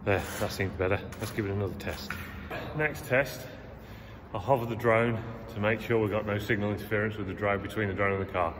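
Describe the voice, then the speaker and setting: A man's voice: on the low side, steady tone, a little fast, noisy background. A middle-aged English man vlogging, talking chattily and matter-of-factly through each step while holding the camera at arm's length. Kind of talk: casual vlog narration. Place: large empty derelict warehouse, indoors. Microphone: handheld camera at arm's length. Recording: long echo in a big empty warehouse.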